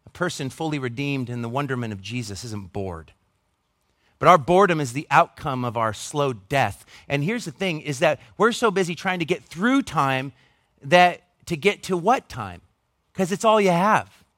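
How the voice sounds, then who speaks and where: A man's voice: fairly high pitch, expressive, normal speed, fairly bright tone, no echo, studio quality. A man in his forties preaching a conversational sermon as a pastor, earnest and emphatic, with self-deprecating asides. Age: 40s.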